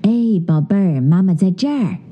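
A woman voicing a gentle, caring mother bear in a children's story, speaking low, soothing and tender. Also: lively intonation, moderate pace, slightly rough, lo-fi sound.

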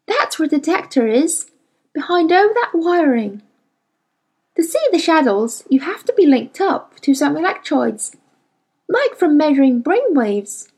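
Woman reader plays a worn-out scientist woman, high-pitched and clipped, skeptical, bouncing between highs and lows. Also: sing-song, unhurried, darkish tone, heavily acted. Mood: weary. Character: tired, brisk adult woman scientist.

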